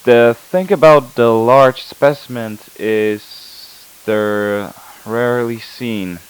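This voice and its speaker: A weary male scientist on night watch , played by an actor, speaks in a low voice over faint tape hiss. He is measured and halting, clinical but uneasy, as in a found-footage horror log. His delivery is very slow. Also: low-quality recording. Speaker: night-shift researcher recording an audio log. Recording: hissy analog tape with static.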